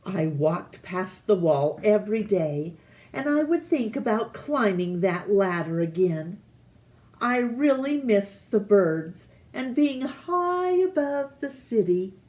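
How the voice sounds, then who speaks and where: A woman reading a children's picture book aloud, deliberately, with pauses between phrases. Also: mid-range voice, big pitch swings, a little mellow. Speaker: storytime reader.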